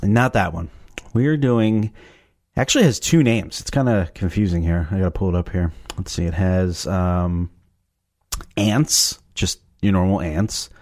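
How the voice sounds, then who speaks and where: A man hosting a casual movie watch-along, his voice scratchy and raspy from a cough, making sarcastic jokes as he watches. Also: deep voice, a little slow, a little mellow. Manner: joking, sarcastic. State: has a cough. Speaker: host reviewing a movie.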